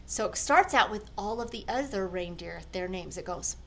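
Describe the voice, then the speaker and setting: An older woman, a grandmother, gently reading a children's story aloud and talking to a child. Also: medium pitch, expressive. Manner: gentle, slow, speaking to a child.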